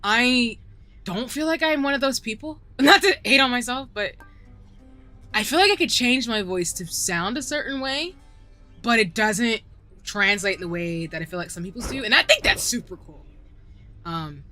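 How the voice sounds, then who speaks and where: Southern woman streamer, young, giggly and rambling, bright tone, swoops up and down a lot, soft music under her. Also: a little high, lively intonation, moderate pace. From southern United States. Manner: giggly and chatty, rambling with many fillers. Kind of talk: casual live-stream chat while gaming. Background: faint background music.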